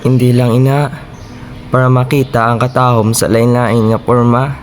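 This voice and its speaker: A man's voice: medium pitch, little pitch movement, moderate pace, slight background noise, close to an everyday voice. A man with a Filipino accent acting a grieving, earnest father who heads a modeling agency in an amateur radio drama. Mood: sorrowful.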